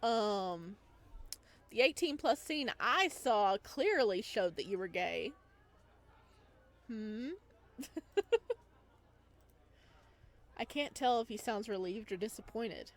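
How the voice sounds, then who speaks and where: Slow-paced, playful woman streaming a game. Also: a little high, expressive. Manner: giggly, playful. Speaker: gaming streamer, VTuber. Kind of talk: let's play commentary, reading visual novel text aloud.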